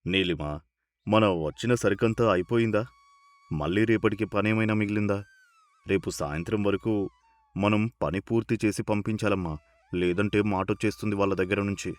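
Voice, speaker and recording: A man's voice: lowish voice, moderate pace, lightly acted. A man playing a kindly, deferential and easily flustered mill boss in a dramatized story. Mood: trusting, businesslike.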